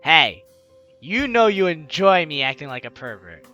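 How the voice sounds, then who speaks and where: A man's voice: on the high side, sing-song, a little slow, sharp tone, highs cut off, faint music behind. A man hosting a let's play, expressively reading a story game's text aloud and reacting with enthusiasm. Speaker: gaming video host.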